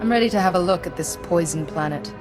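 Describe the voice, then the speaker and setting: A calm warrior-diplomat voiced by a woman in a science-fiction game. She is courteous and composed, quick in delivery and a touch low, with clear music behind. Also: lightly acted. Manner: polite, composed.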